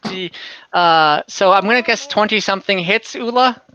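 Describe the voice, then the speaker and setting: A game master narrating a tabletop role-playing game informally, thinking out loud while tallying dice over an online call. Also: medium pitch, normal speed, clear, resonant tone, dull audio. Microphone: online group call.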